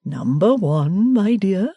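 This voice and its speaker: A woman reader voicing a meek, gentle older father who speaks mildly and slowly. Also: medium pitch, animated, slightly rough, no room sound, studio quality, pitched above the performer's own voice. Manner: mild, slow and soothing.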